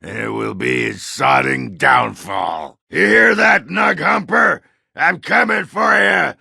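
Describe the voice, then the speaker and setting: A man playing a crude, boozy, belligerent dwarf warrior in a video game, with a raspy, guttural voice and a coarse, blustering, swaggering manner. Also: a little high, slow, pushed and bright, no echo, studio quality, exaggerated voice. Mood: angry, blustering. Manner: coarse, blustering, swearing, slurred drunken swagger. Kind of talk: video game character acting.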